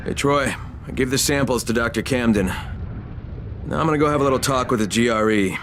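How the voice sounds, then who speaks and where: An actor playing a tough adult operative who talks in blunt, terse, sometimes profane lines. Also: male voice, mid-range voice, brisk, background noise, close to an everyday voice. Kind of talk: video game cutscene acting. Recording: game score behind.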